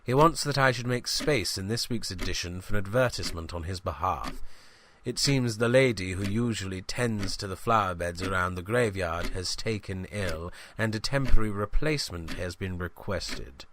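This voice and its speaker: Man playing pompous low-voiced British village reporter. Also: moderate pace, fairly bright tone, slight background noise. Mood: musing, prim. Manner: formal, pompous, musing. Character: pompous genteel village newspaper reporter, self-important, fussy, prim. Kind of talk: dictated audio diary, comic scripted drama.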